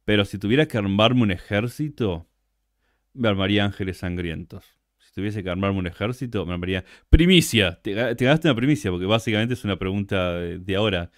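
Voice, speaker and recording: Young argentine guy chatting on a stream, low voice, dry sound. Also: expressive, a little fast, no room sound. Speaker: interview guest. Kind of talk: casual livestream chat.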